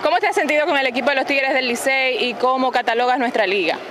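A woman sports reporter with a high voice asks polished, announcer-style questions on a ball field. Her handheld mic is set against loud open-air stadium noise. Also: quick pace. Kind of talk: sideline sports interview. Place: outdoors on a baseball field by the stands. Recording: noisy outdoor stadium ambience.

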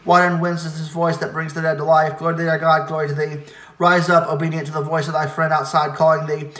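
Man rapidly reciting liturgy in echoing room. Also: a little high. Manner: quick, steady recitation with stumbles and self-corrections. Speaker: reader leading a church service. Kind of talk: liturgical chanting of hymn verses.

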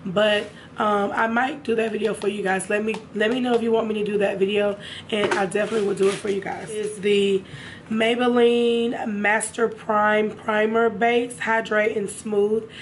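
A young woman with a raspy, hoarse voice, chatting casually to her viewers about makeup in a room at home. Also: medium pitch, level tone, normal speed, background noise. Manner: chatty, addresses viewers directly. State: hoarse from a sinus infection. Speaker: beauty vlogger. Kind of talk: casual makeup haul chat.

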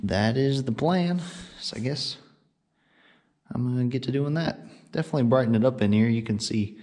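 A man with a mid-pitched voice talks casually through a DIY job. He is plain-spoken and laid-back, speaking to an action camera in a bare block-walled basement garage. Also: normal speed, darkish tone. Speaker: DIY vlogger. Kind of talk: casual talk to camera while working.

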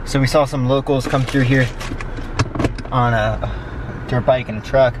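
A man's voice: medium pitch, fairly even intonation, a little slow. A young man talking casually to his handheld camera while hiking outdoors in a mountain forest. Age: young adult. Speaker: vlogger. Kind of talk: casual travel vlog. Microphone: handheld camera at arm's length. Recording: noisy outdoor handheld recording.

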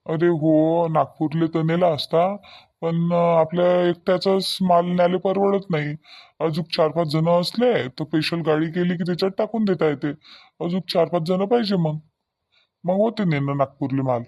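A practical cartoon farmer, played by a man, talks in a high-pitched male voice. His Varhadi Marathi accent is rustic, and his manner is folksy and conversational, often repeating himself. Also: moderate pace, a little mellow, natural voice. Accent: Varhadi (Vidarbha) Marathi. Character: friendly village farmer, practical family man. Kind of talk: cartoon village dialogue.

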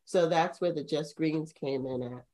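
An older woman with a low voice who speaks slowly. She co-hosts a casual video call and asks questions in a halting way, pausing on ums. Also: warm tone. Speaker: co-host. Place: home room.

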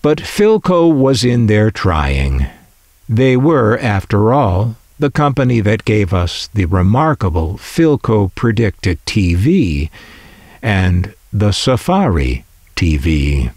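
An American man narrates in a documentary style with a fairly low voice. His pitch moves expressively while he keeps an unhurried, measured pace. He sounds wry and opinionated, like a host presenting a scripted piece. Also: big pitch swings, darkish tone, no echo, studio quality. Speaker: host of a vintage radio collecting channel.

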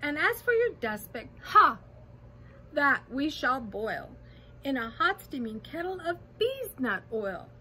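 Storybook kangaroo, played by a woman: domineering, scornful, high voice, snapping with slow, haughty emphasis. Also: sing-song, pushed and bright, slight background noise, exaggerated voice, poor audio. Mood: gloating, threatening.

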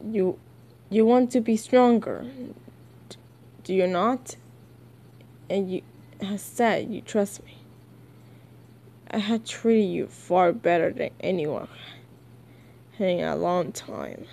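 A woman acting out a sinister comic-book villain with a hushed, lowered voice. The villain is calm and coaxing, moving slowly and haltingly through each manipulative line. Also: creaky, full character voice.

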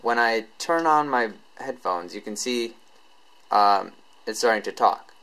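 A man presenting a tech tutorial in a casual, informal, unscripted way, halting as he explains each step. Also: fairly low pitch, lively intonation, fairly bright tone, low-quality recording.

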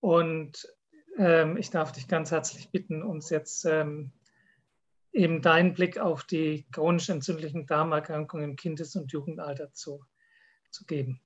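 A man in his fifties from southern Germany introducing a speaker in a halting, collegial way over a video call. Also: a little high, steady tone. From Swabia, southern Germany. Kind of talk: informal event introduction. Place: indoor room, on video call. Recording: dull lo-fi video call audio.

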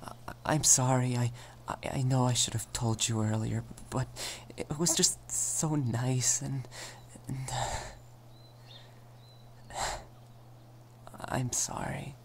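A man voicing a shy, flustered young male vampire in a soft ASMR roleplay, stammering and hesitant. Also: mid-range voice, fairly even intonation, unhurried, hardly any pauses, a little hiss or hum, close to an everyday voice. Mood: apologetic, sad.

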